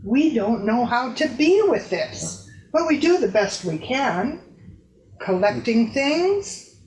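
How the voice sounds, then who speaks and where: A woman reading a literary piece deliberately, pausing between phrases. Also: a little high, sing-song, darkish tone, rough recording. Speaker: literary reader. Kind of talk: staged reading of a personal essay.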